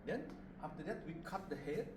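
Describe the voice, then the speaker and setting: Man, Indonesian accent, slightly high voice, short simple English phrases, craft demonstrator, loud background noise. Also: animated, a little fast. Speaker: puppet maker giving a demonstration. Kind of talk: informal craft demonstration to visitors. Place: roomy puppet studio. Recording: roomy, distant mic, loud background noise.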